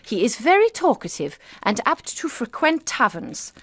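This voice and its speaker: A woman's voice: fairly high pitch, very animated, moderate pace, a bit bright. An actress in a period radio drama playing an anxious, kindly eighteenth-century farmer's wife, polite and earnest in her speech. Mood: reading out indignantly.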